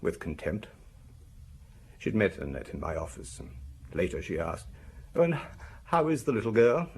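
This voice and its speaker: An actor playing a weary, defensive husband suspected of murder in a radio crime drama, explaining himself haltingly. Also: male voice, low voice, sing-song, gravelly, a little mellow, slight background noise, heavily acted. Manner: evasive, halting, self-justifying.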